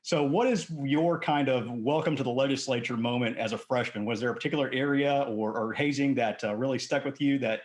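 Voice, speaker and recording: A man's voice: medium pitch, a little fast, few pauses, echoey room. Man hosting a casual podcast. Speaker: podcast host. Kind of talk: casual interview chat.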